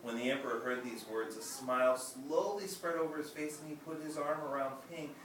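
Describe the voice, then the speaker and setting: A man reading a children's story aloud in a gentle, measured way. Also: medium pitch, steady tone. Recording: echoey room, distant mic, noisy.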